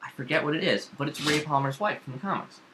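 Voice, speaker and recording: A man's voice: fairly high pitch, fast, noisy background. A man talking as a podcast co-host in casual, opinionated pop culture chat, informal, rambling and emphatic.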